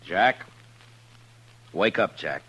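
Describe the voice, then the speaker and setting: An actor playing a steady, protective frontier marshal in a 1950s radio western, with a measured, understated delivery. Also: male voice, deep voice, muffled recording, heavily acted. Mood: grim, measured. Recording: noisy 1950s radio recording with hum.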